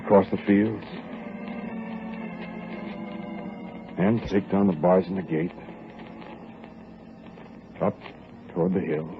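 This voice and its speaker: An actor playing a hard-bitten robber on the run, narrating to the listener in a gruff, clipped 1940s radio drama style. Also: male voice, low voice, unhurried, music in the background. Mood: brooding. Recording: tinny old radio broadcast.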